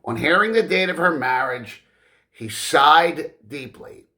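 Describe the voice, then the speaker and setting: A male narrator reads a novel in an expressive, theatrical way. His voice is slightly high for a man, bright and forceful, and he takes his time with pauses between phrases. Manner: deliberate, dramatic phrasing with pauses. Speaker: audiobook narrator. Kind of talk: expressive scripted literary narration.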